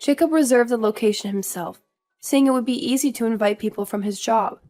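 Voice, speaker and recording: Woman acting an earnest, higher-voiced young daughter-in-law. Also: a little fast, a little mellow, soft background music, close to an everyday voice. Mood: defensive. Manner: polite but firm, pleading. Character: earnest, indignant young daughter-in-law standing her ground. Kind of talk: scripted audio story acting.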